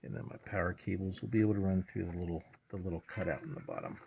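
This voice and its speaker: A man's voice: low voice, sing-song, racing, creaky. A man giving a casual hands-on installation walkthrough, muttering through it unscripted in short phrases, in a dull, muffled recording. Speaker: host of a truck build vlog. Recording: dull muffled sound with background noise.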